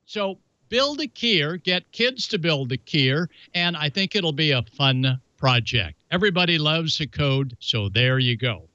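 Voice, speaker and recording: Bright, forceful man, chatty show host. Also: fairly high pitch, expressive, a little slow, few pauses, muffled recording, a little hiss or hum. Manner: folksy, chatty, playful asides. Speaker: ham radio show host. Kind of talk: casual hands-on how-to demonstration with announcements.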